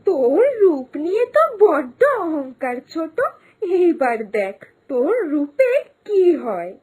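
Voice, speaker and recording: Woman voicing a mellow but high, spiteful elder daughter-in-law. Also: big pitch swings, slow, full character voice. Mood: spiteful, gloating. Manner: scheming, muttering plans to herself. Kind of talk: Bengali moral-story cartoon dubbing.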